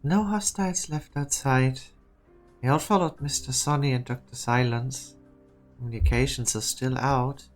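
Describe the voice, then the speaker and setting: A woman's voice: a bass voice, moderate pace. A woman voicing a timid, earnest, stammering young man in a game drama fan dub. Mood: worried, dutiful. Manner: stammering, hesitant. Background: background music.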